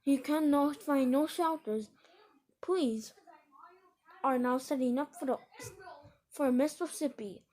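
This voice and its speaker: A high-voiced woman delivers a scripted emergency alert. She speaks slowly and formally, with a stilted, solemn delivery. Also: darkish tone, poor audio. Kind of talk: scripted emergency broadcast announcement.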